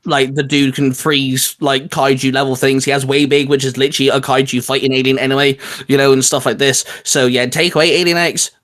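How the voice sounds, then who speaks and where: A man's voice: medium pitch, level tone, brisk, few pauses, fairly bright tone. A man hosting a casual geeky debate, speaking in a chatty, informal and opinionated way. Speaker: video host.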